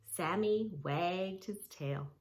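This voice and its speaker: A woman's voice: fairly low pitch, drawn-out words. A woman reading a story aloud and guiding preschoolers through yoga poses, speaking simply and encouragingly, straight to the child. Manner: simple, encouraging, addressing young children directly. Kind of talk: read-along story with yoga instruction for preschoolers.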